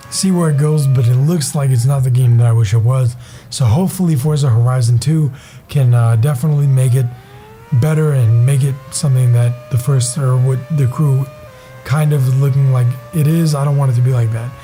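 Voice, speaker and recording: A hoarse young man with a raspy voice, giving casual, rambling gaming commentary as a channel host. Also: medium pitch, warm tone. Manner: rambling, enthusiastic, many fillers.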